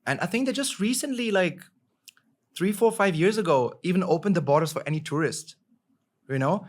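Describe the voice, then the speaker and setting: A man in his thirties from Germany with a German accent, talking as a podcast guest in a lively, upbeat way, full of "like" and "you know". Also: high-pitched, moderate pace. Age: 30s. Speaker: guest, travel vlogger. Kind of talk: casual podcast conversation.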